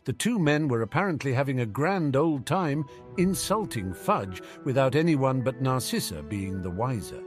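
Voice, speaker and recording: A man narrates a story from a script in an audiobook style. His voice sits at a middling pitch and moves with animated, varied intonation. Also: normal speed, few pauses, faint music behind.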